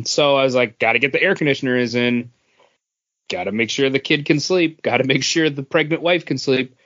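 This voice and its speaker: A man with a mid-pitched voice chats casually as a podcast co-host. His wording is informal and slangy. The audio is slightly dull and lo-fi, like an internet call. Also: normal speed, fairly bright tone. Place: remote voice call from home.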